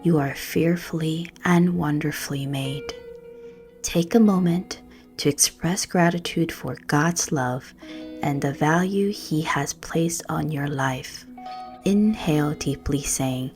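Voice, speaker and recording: Casting: woman meditation guide, low-pitched, gentle and hushed, reading scripted prayer over music. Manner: gentle, soothing, hushed, measured phrasing.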